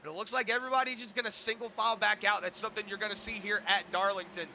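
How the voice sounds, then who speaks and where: Hoarse man calling a sim truck race. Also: high-pitched, very fast, slight background noise. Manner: relaxed, rambling play-by-play with fillers. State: hoarse from a sinus infection. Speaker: race commentator. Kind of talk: play-by-play sim racing commentary.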